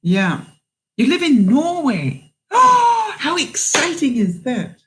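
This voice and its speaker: A woman in her seventies, speaking with a British accent softened by years abroad, hosts a live stream and talks to her viewers. She talks slowly and haltingly, with plenty of "you know" fillers, and sounds a little tired. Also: sits high, wildly swooping pitch, background noise. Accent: mixed British. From England. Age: 70s. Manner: unhurried, halting, with many fillers. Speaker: livestream host.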